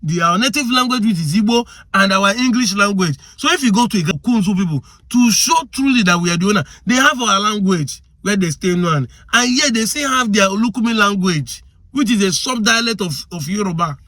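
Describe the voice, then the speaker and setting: A man's voice: high-pitched, expressive, a little fast, pushed and bright, dry recording. A man with a Nigerian accent giving impassioned commentary, arguing hard and firing off rhetorical questions. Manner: argumentative, fires rhetorical questions.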